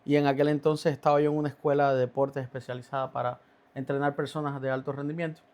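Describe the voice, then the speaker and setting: A man's voice: mid-range voice, flat delivery, a little mellow. A man, a podcast guest telling his faith story with many halts and restarts. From Cuba. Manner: halting, many restarts and fillers. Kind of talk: testimony in a podcast interview.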